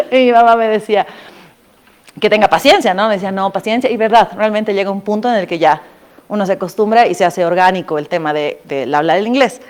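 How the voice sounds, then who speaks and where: A woman's voice: medium pitch, a little fast. Chatty Bolivian woman, laughing often. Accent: Bolivian Spanish. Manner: animated, laughs often, addresses listeners directly with tag questions. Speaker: former scholarship student giving a talk. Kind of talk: casual storytelling talk to an audience.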